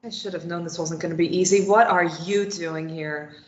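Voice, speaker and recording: A woman's voice: on the low side, steady tone, normal speed, highs cut off, natural voice. A woman playing a defiant captive, roomy sound. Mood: irritated. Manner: snappy, argumentative. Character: defiant, sarcastic captive woman, tied up and desperate. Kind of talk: script table read.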